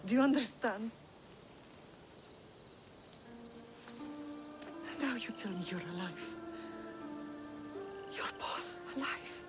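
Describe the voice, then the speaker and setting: A woman's voice: a little high, measured pace, loud music behind the voice, close to an everyday voice. A woman playing a grave, firm middle-aged mother who leads an ancient Greek island in a video game. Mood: overcome, tearful. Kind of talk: video game cutscene acting.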